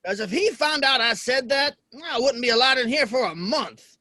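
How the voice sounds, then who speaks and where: An earnest American cowboy in a Western audio play, performed by a man. His voice is high and bright and moves around a lot. He is folksy and a bit hesitant, shy but stubborn. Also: animated, normal speed, dry recording, studio quality, heavily acted. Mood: flustered. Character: earnest, plain-spoken cowboy, shy yet defiant.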